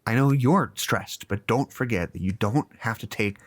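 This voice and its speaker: A man's voice: lowish voice, normal speed, lightly acted. A man in an audio drama playing a stressed, earnest researcher who tends to stammer and restart. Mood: apologetic, earnest.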